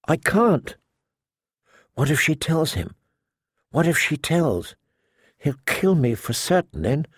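A male narrator plays a timid young noblewoman in a fantasy audiobook. She speaks haltingly and in a hush, full of fear. The voice is slightly high, with some creak in it. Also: dry recording, studio quality. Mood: panicked, hushed. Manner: hesitant, halting, hushed.

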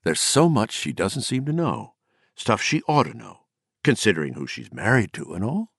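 A man's voice: fairly low pitch, huge pitch swings, moderate pace, creaky, a bit bright, breathy edge, dry recording, studio quality, voice pushed up, full character voice. A man voicing a drunk, bitter and eloquent poet who rants mockingly with a rising voice. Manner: mocking, sardonic, raising his voice.

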